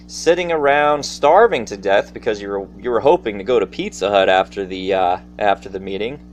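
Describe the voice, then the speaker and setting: A man's voice: lowish voice, lively intonation, fairly quick. A man telling personal stories in a casual monologue, addressing his listeners directly in a theatrical, folksy manner. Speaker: storyteller. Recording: faint background noise.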